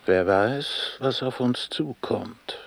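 A man's voice: mid-range voice, slow pace, gravelly. A man playing a self-assured, persuasive businessman in a German radio play, encouraging and full of rhetorical questions.

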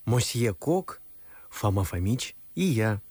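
An actor playing an obsequious, ingratiating young flatterer in a radio stage comedy. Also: male voice, fairly low pitch, slow pace, slightly rough, dry recording, exaggerated voice.

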